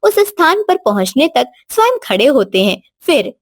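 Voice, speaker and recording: High-pitched woman narrating a story. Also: very animated, moderate pace, dry recording.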